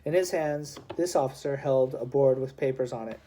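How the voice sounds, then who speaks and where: A man's voice: medium pitch, normal speed, mellow. A man reading a historical novel aloud as scripted storybook narration. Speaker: audiobook reader.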